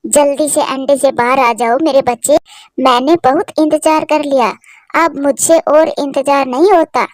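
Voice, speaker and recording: A woman voicing a gentle, sorrowful, self-sacrificing female bird wife in a cartoon, speaking in an earnest, emotional way. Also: sits high, steady tone, normal speed, dry recording, clean studio sound, close to an everyday voice. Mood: joyful, tender. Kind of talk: Hindi cartoon voice acting.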